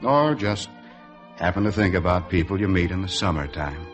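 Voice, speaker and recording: A man's voice: deep voice, lively intonation, moderate pace. An actor playing an easygoing, reflective man who narrates a 1950s radio drama in a wry, conversational way. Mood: wry reminiscence. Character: Mac, easygoing, reflective man recalling a summer acquaintance. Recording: 1950s radio broadcast with music underneath.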